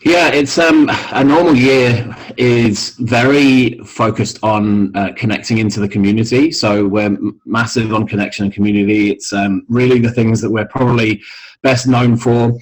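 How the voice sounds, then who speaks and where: A man's voice: mid-range voice, normal speed, low-quality recording. Mild Scottish-tinged man on a video call. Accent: mixed international, mild Scottish. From Scotland. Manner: relaxed, many ums and restarts. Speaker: charity CEO, interview guest. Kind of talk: casual video call interview.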